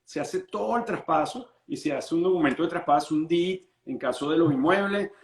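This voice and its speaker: A Venezuelan-born lawyer explaining estate planning in an interview, patient and full of restarts. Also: male voice, a little high, brisk, roomy sound. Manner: explains patiently, many restarts and repeated words.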